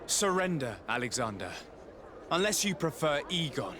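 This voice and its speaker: A composed diplomat. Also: male voice, fairly high pitch, big pitch swings, background noise. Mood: stern. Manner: measured, formal. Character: composed male diplomat, calm and authoritative. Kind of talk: video game voice acting.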